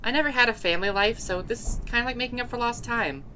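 A woman's voice: a little high, fast talker, a little hiss or hum. A woman playing a chatty, blunt young woman scientist who is part dog, in casual fan dub drama dialogue. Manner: casual, animated, exasperated at hesitation.

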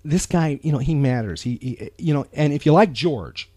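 A man's voice: fairly high pitch, expressive, moderate pace, mellow, breathy edge, no room sound. An American man co-hosting a podcast in a casual, emphatic, earnest and rambling way. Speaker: podcast co-host. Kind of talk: casual chat.

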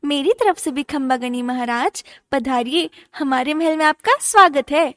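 A woman's voice: high voice, normal speed, fairly bright tone, no room sound. A woman playing an eager, devout, innocently insistent little royal girl in a radio drama. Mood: polite, eager.

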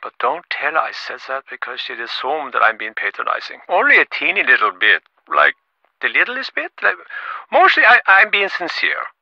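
A man's voice: mid-range voice, wildly swooping pitch, moderate pace, vocal fry, sharp tone, dry recording, lo-fi sound, full character voice. A man voicing a smug, impatient rich businessman in a video game, glib and self-important, with stammering, sarcastic delivery. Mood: smug, casual. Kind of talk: acted game dialogue.

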